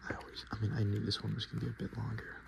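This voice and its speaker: A man hosting softspoken, whispered ASMR in a murmured, unhurried, casual and self-deprecating way. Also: lowish voice, completely flat delivery, creaky, noisy background. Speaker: ASMR video host.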